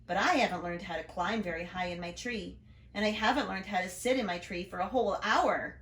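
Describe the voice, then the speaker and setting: A woman's voice: mid-range voice, fairly quick, a bit bright, roomy sound, natural voice. A woman voicing a frank, sensible young schoolgirl in a storybook, speaking in a plain, matter-of-fact way. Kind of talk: storybook read-aloud.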